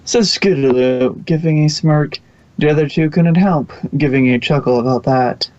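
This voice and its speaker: A man's voice: on the high side, moderate pace, darkish tone, lo-fi sound. A man reading fan fiction aloud as the narrator, close to the microphone.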